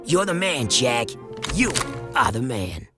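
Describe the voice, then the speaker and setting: An actor playing an earnest, commanding resistance officer in a video game, brisk and authoritative. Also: male voice, medium pitch, very animated, over loud music. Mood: pleased. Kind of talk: video game cutscene acting.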